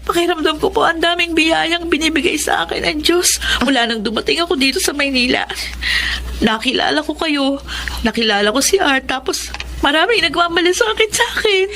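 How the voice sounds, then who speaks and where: A woman's voice: high voice, brisk, bright, forceful tone, a little hiss or hum. A woman playing a hardworking, devout young college student in a Filipino radio drama, speaking earnestly and politely with a Filipino accent. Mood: tearful, grateful.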